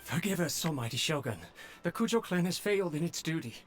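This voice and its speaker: A man's voice: fairly high pitch, animated, brisk, lightly acted. An actor playing a nervous young male servant who stammers and speaks humbly. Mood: flustered.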